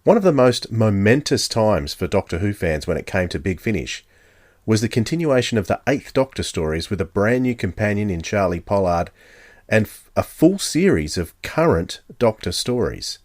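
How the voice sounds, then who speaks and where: A man's voice: on the low side, sing-song, moderate pace, darkish tone, dry recording, clean studio sound. A man with an Australian accent giving a scripted introduction as a podcast host.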